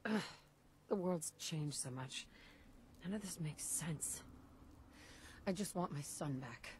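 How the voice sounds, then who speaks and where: A woman's voice: sits low, animated, slow, a little hiss or hum. An actress voicing a shaken young mother surviving in a wasteland in a video game. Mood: anguished. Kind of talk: video game dialogue acting.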